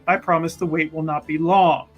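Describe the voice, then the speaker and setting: A man voicing a polite cult schemer who tries to stay calm and placating in a fantasy roleplaying game. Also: fairly high pitch, moderate pace, dull audio, soft background music, close to an everyday voice. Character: smooth, calm male cult schemer keeping his cool.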